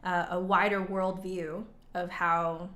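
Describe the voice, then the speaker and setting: A woman's voice: fairly low pitch, flat delivery, slow pace, fairly bright tone. A woman hosting a livestream, talking casually and reflectively with her chat in an informal, conversational way. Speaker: livestream host and flutist.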